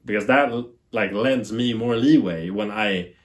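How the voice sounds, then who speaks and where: A man from Sweden with a Swedish accent, speaking English as a second language in a rambling, animated talk to camera as a TV tech YouTuber, laughing at himself. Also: lowish voice, measured pace.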